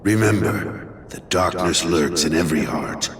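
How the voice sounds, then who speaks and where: A stern old warrior master, gives solemn commands in a low voice. The sound carries long reverb and a faint rumble, as in a game cutscene. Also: male voice, moderate pace. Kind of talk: video game cutscene acting.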